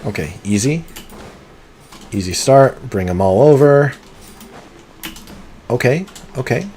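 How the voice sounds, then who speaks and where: A man streaming a game live, talking through it in an excitable, competitive way, with sudden gasps and pleading. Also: medium pitch, slow pace, a little hiss or hum. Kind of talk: live gameplay commentary.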